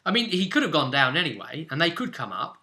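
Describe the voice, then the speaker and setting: Bright, forceful British man as game master. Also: on the high side, big pitch swings, quick pace. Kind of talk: casual recap of a tabletop role-play session.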